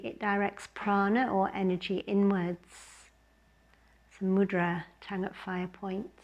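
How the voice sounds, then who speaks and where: Female yoga teacher, unhurried gentle delivery for guided relaxation, mid-pitched. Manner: gentle, unhurried, with long pauses between short phrases.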